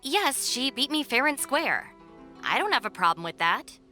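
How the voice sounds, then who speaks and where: A sensible, long-suffering young female deputy from a video game. Her voice is slightly high and crisply bright, matter-of-fact and unimpressed, over faint music. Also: big pitch swings, moderate pace, exaggerated voice. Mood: dry. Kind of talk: animated video game dub acting.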